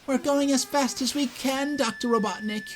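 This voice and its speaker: A man's voice: extremely high pitch, fairly even intonation, normal speed, slight background noise, pitched above the performer's own voice. A man voicing a servile, whiny male henchman from a comic. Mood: anxious.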